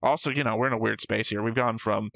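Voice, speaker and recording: A man's voice: on the low side, fairly even intonation, quick pace, vocal fry, fairly bright tone, no room sound, clean studio sound. A man co-hosting a reaction show, chatting casually and analytically, thinking aloud and swearing freely. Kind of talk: casual analytical chat about an anime episode.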